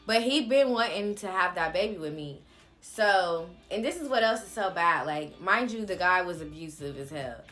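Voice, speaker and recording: Casting: young female vlogger, lowish bright voice, candid slangy casual storytelling, faint music underneath. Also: normal speed. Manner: candid, animated retelling with slangy asides.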